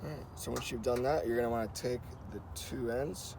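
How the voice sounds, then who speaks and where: A man's voice: mid-range voice, fairly even intonation, fairly quick, creaky, background noise. A man hosting a how-to video, talking casually and informally as he explains each step with repeated instructional phrases. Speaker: host of a how-to car channel. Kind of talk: casual hands-on tutorial talk.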